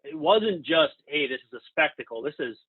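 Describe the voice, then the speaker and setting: Over a thin, phone-like remote connection, an American man hosts a casual sports interview. His voice is slightly high for a man and has a husky grain. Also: animated, moderate pace. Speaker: podcast host. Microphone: remote call.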